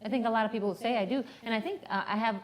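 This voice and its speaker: A woman's voice: on the high side, very fast. A woman co-hosting a studio talk show, chatty and bubbly, with a slight northern New York accent mixed with a Spanish one. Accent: slight northern New York with Spanish touches. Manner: bubbly, chatty, laughs easily. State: tired, up since early morning. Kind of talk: casual studio chat.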